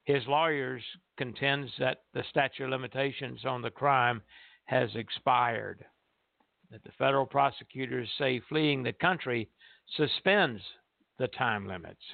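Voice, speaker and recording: A man's voice: medium pitch, a little slow, creaky, no room sound. Older man on a tinny phone line. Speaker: radio show host. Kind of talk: reading an article aloud and casual chat.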